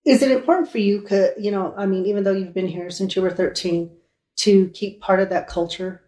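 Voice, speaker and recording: A woman's voice: mid-range voice, steady tone, fairly quick, dark tone. A woman hosting an interview, chatty and encouraging, often affirming her guest. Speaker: show host. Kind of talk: interview chat.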